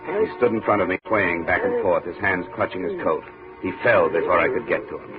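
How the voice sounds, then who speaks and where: An actor playing a hard-boiled insurance investigator, terse and deadpan, in 1950s radio noir style. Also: male voice, deep voice, close to an everyday voice. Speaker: lead detective and narrator. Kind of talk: 1950s radio noir acting and first-person narration. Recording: tinny lo-fi 1950s radio recording.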